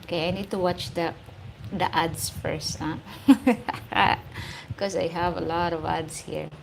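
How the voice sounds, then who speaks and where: Filipina livestream host, Filipino accent, hoarse voice, unhurried chatty delivery, laughs a lot, greets viewers by name, faint ambience behind. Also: female voice, on the low side, fairly even intonation, slight background noise. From Philippines. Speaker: YouTube host. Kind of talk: casual livestream chat reading viewer comments.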